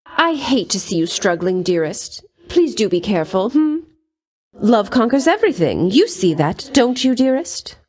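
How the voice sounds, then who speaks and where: An actress playing a flirtatious, doting goddess of love in a video game, with a coy, honeyed, theatrical delivery. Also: female voice, mid-range voice, sing-song, normal speed, a little mellow, lightly acted. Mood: doting, teasing. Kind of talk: video game character voice lines.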